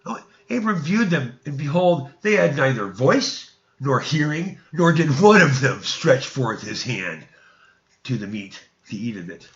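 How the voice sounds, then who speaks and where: A man's voice: medium pitch, very animated, measured pace, fairly bright tone, echoey room. A man reading scripture aloud as theatrical narration, now and then stumbling over words, in a lo-fi recording. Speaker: reader. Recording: dull, lo-fi sound.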